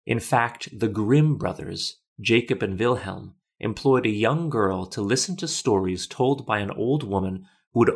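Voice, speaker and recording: A man hosting a podcast, reading scripted documentary narration in a measured, literate, explanatory way. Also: lowish voice.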